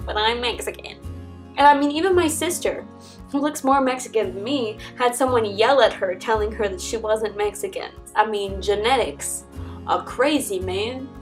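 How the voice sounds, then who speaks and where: A young American woman chatting casually to camera as a vlogger, with an animated way of telling personal stories. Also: a little high, normal speed. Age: young adult. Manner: animated, acts out quoted conversations. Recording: distant mic, background music. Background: acoustic folk instrumental music.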